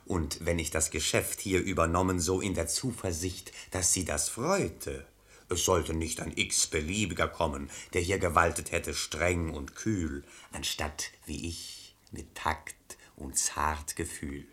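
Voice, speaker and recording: An actor playing a falsely polite, pious bailiff in a verse radio play, with unctuous, drawn-out courtesy. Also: male voice, low-pitched, steady tone, a bit bright. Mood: falsely gentle.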